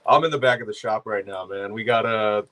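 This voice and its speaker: Laid-back low-voiced man, hoarse. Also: fairly quick, pushed and bright. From California. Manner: laid-back, rambling with fillers. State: hoarse, dry voice. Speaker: guest. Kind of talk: casual chat. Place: back room of his shop.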